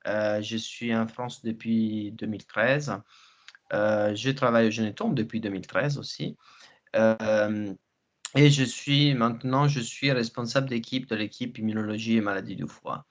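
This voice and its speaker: A man's voice: fairly low pitch, fairly even intonation. A man in his thirties with an Italian accent, a researcher presenting informally and hesitantly on a video call. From Italy. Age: 30s. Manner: hesitant, halting, repeats words. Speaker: researcher, team leader presenting. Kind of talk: informal online science presentation. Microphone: video call microphone. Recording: muffled video-call audio.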